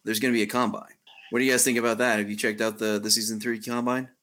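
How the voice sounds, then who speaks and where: A man hosting a podcast interview, steering the topics with frequent "uh" pauses. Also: mid-range voice, level tone, fairly bright tone. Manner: steering the talk, many "uh" pauses. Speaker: podcast host.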